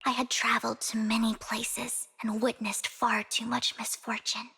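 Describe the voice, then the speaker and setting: An actress playing an earnest, devoted young woman of misfortune in an anime-style game, her voice soft and sometimes breathy. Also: on the high side, little pitch movement, normal speed, exaggerated voice, rough recording. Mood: sorrowful. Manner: earnest, confiding. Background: game music.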